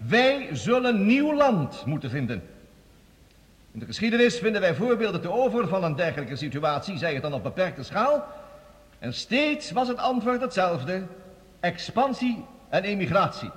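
A man's voice: high-pitched, very animated, normal speed. A man acting in a radio drama as an eloquent, self-assured scientist who addresses a world council in a theatrical, pompous way. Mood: proclaiming. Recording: hall-like reverberant radio drama recording.

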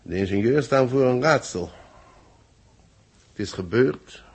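An actor playing a deliberate space-base official in 1960s radio drama, with a husky voice and a Netherlands Dutch accent. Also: male voice, mid-range voice, expressive. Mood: measured, explanatory. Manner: deliberate, explanatory. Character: measured male space-base official briefing his secretary.

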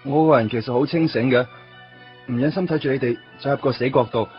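A man's voice: mid-range voice, quick pace, lightly acted. An actor playing a timid, earnest young man in a radio play, speaking Hong Kong Cantonese and stammering over his words. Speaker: supporting character in a radio play. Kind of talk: 1990s radio drama acting. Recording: dull lo-fi radio recording with music.

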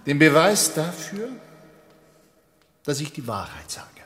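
An actor playing an earnest secret agent driving a bargain in a radio drama, terse and intent. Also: male voice, fairly high pitch, very animated, slow pace, a bit bright, heavily acted. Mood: determined. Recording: reverberant hall acoustic.

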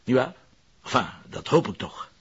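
An actor playing a confident, wry police inspector in a crime drama, speaking with a Flemish accent. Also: male voice, medium pitch, big pitch swings, normal speed, a bit bright, exaggerated voice. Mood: confident, persuasive. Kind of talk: naturalistic crime drama dialogue. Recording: dull lo-fi audio with clear noise.

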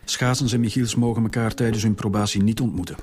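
An actor playing a stern, demanding police director with a Flemish accent in a radio drama, curt and authoritative. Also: male voice, lowish voice, steady tone, fast talker, music in the background, close to an everyday voice. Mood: commanding.

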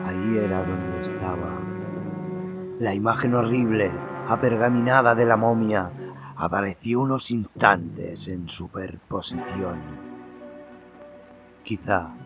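Give me a male narrator reading a dark tale slowly and dramatically, with music underneath. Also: lowish voice. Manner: measured, dramatic, lingering pauses. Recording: narration over background music.

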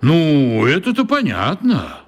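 A man's voice: fairly high pitch, huge pitch swings, slow pace, slightly rough, full character voice. An actor playing a courteous, curious man on a train in a children's radio play, asking probing questions. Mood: curious, polite.

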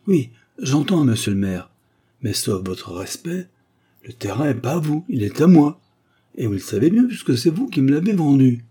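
A man's voice: on the low side, sing-song, slow pace, mellow, slightly rough, exaggerated voice. A man voicing a stubborn, wily old peasant in a storybook, with rustic, colloquial and cheeky speech. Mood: obstinate. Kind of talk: literary audiobook dialogue.

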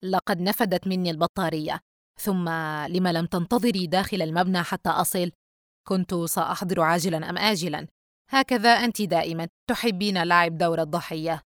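A woman's voice: fairly low pitch, quick pace, dry recording, natural voice. Casting: woman playing callous younger brother, teen, sarcastic, mocking and cool, audiobook drama, dry sound. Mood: indifferent. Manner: cold, sarcastic, taunting. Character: callous, mocking teenage brother, sly and indifferent.

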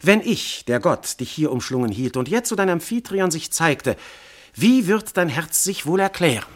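An actor playing an amorous, commanding god disguised as a husband, speaking classical verse solemnly and intensely in a radio drama. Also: male voice, a little high, big pitch swings, moderate pace. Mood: probing, insistent.

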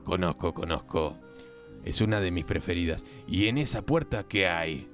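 A man's voice: low-pitched, expressive, normal speed. An actor playing a stern, formal, self-righteous policeman in comic radio theatre. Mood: curious. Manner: stiff, formal. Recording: music behind the voice.

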